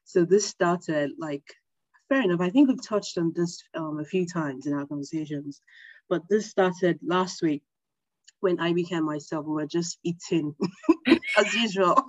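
A woman's voice: on the low side, measured pace, no room sound, dull audio. A woman hosting a casual podcast, friendly and giggly as she asks her guest questions. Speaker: podcast host.

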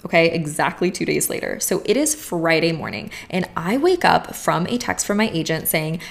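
Brief young woman podcast host, gushing, chatty, low voice with creaky fry. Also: lively intonation, moderate pace. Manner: effusive, addresses listeners as friends and you guys. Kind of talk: casual podcast monologue.

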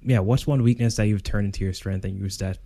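A man hosting a podcast interview, asking questions haltingly with many fillers and restarts. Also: on the low side, steady tone, warm tone. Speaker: podcast host.

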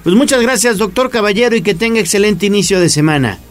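Male radio host, high voice, friendly brisk announcer, faint music. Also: lively intonation. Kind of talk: morning radio hosting.

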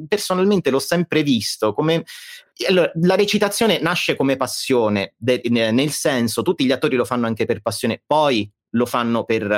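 Halting man chatting in a dry sound. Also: mid-range voice, no room sound. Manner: halting, self-correcting. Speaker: guest, amateur fan dubber. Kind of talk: casual chat. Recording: dry sound with music behind.

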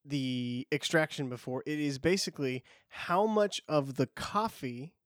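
A man hosting a casual podcast, friendly and halting, with many restarts. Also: a little high, animated, a bit bright, dry recording, clean studio sound. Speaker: podcast host.

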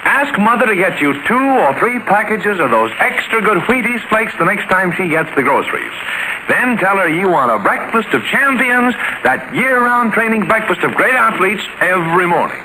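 A male radio announcer in 1940s serial style, with a high voice. He sells in a chummy, emphatic way, talking straight to young listeners. The old recording has clear noise. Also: lively intonation, normal speed.

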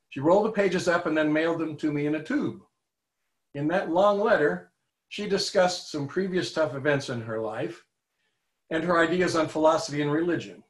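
A man in his seventies earnestly telling a personal faith story in a measured way. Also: on the high side. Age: 70s. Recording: roomy, echoey room.

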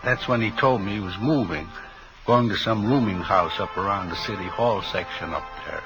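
A man's voice: lowish voice, moderate pace, close to an everyday voice, rough recording. An actor playing a folksy, pious reformed drug peddler in his fifties, rambling and easily distracted, in a 1950s radio drama. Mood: rambling, obliging. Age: 50s. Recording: old radio drama, music playing behind.

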